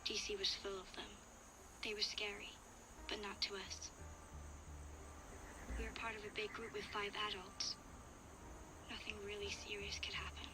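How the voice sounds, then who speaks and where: A woman's voice: mid-range voice, flat delivery, normal speed, long pauses, noisy background, lightly acted. An actress playing a young girl survivor who narrates her ordeal in an earnest, confessional way. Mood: uneasy, reflective. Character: young girl survivor recounting danger, frightened but reflective. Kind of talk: first-person dramatic audio log narration.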